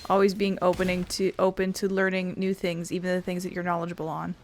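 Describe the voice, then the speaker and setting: A woman's voice: on the low side, completely flat delivery, quick pace, slight background noise. A woman giving casual, chatty let's-play commentary, musing aloud as the host of a gaming video.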